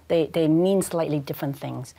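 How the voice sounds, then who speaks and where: Hong kong accent lady on a studio panel, lowish voice, careful and thoughtful, lapel mic. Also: normal speed, creaky, warm tone. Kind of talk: panel discussion.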